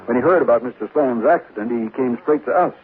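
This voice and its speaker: An actor playing a polite, probing sheriff in a 1940s radio drama, measured and slightly hesitant. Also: male voice, mid-range voice, natural voice. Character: courteous, probing small-town sheriff. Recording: old radio, narrow band, faint noise.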